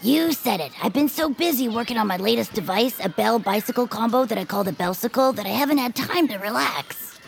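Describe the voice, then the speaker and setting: A woman voicing a plucky, easygoing inventor and adventurer with a gravelly voice and plainspoken manner in a comedy audio drama. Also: on the high side, lively intonation, fairly quick, few pauses. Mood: relaxed. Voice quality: very creaky, gravelly. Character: plucky, laid-back inventor and adventurer Commander Hatch.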